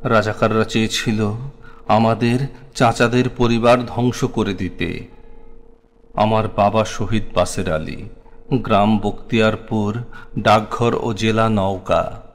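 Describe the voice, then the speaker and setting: A man with a Bangladeshi accent recites a memoir very slowly and solemnly. His voice is fairly low and literary in its phrasing, trailing long hall-like reverb. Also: fairly even intonation. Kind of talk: scripted dramatic memoir recitation.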